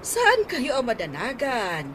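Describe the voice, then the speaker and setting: Woman acting a slow, animated Ilocano auntie. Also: sits high, background noise, heavily acted. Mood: jolly. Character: hospitable auntie, fond of treats and outings. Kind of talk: radio comedy drama acting.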